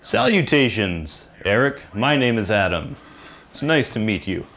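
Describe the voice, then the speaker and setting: A man's voice: lowish voice, sing-song, a little slow, fairly bright tone. Dry-humored man co-hosting a podcast. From Northern Virginia. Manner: dry, self-deprecating banter. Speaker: podcast co-host. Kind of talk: casual chat.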